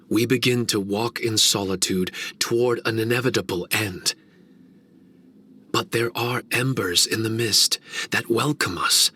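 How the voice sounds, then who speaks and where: An actor playing a solemn, wistful male shikigami servant in a game cutscene, speaking in a slow, measured, reflective way. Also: lowish voice, bright, forceful tone, breathy edge, heavily acted.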